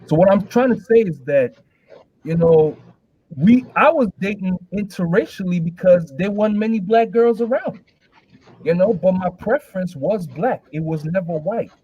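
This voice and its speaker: A man from Nigeria speaking on an online debate panel, measured and a bit hesitant. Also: high voice, slightly rough, no echo, highs cut off.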